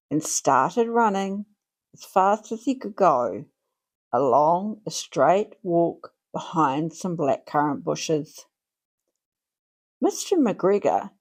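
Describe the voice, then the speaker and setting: A woman reading a children's storybook aloud in an expressive, deliberate way, drawing out her phrases for young listeners. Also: mid-range voice. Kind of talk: read-aloud storybook narration.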